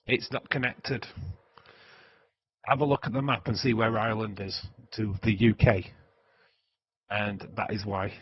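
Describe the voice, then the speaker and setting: A man's voice: lowish voice, husky, dry recording, lo-fi sound. A man with a Yorkshire accent chatting casually to viewers on a livestream, rambling and laughing often. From England. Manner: rambling, laughs often, many filler sounds. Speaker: livestream host.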